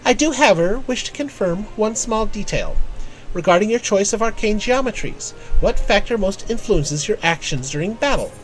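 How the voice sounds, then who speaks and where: A woman's voice: medium pitch, animated, moderate pace, faint music behind, voice pushed up, close to an everyday voice, rough recording. A performer voicing a bookish young woman, a precise and absent-minded arcanist tutor in a fantasy game, speaking formally and wordily. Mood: formal, instructive. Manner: formal, verbose, analytical. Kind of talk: reading game character dialogue aloud.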